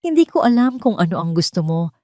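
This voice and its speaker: A woman voicing a gentle, worried young man who speaks with soft concern in an audio drama. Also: lowish voice, big pitch swings, brisk, no echo, clean studio sound.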